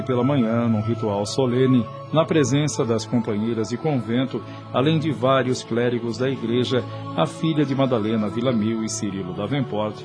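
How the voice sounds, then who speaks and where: A man narrating a radio drama in a measured, solemn way. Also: medium pitch.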